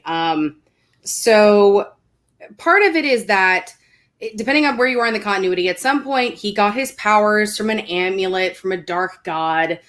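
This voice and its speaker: A woman guest chatting casually on a panel, explaining in a halting, stop-start way and repeating words. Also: mid-range voice, fairly bright tone. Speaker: guest on a geek culture panel show. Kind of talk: casual chat.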